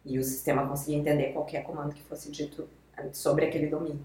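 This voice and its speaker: A woman with a notably low voice, explaining things patiently one step at a time. She sounds like a guest expert walking listeners through examples. The recording has a roomy echo around her. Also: level tone, fast, a little mellow, slight background noise. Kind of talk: explanatory podcast talk.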